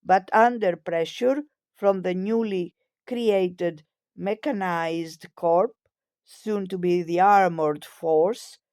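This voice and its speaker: A woman reading scripted history narration in a measured, formal manner. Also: medium pitch, mellow, no room sound, clean studio sound.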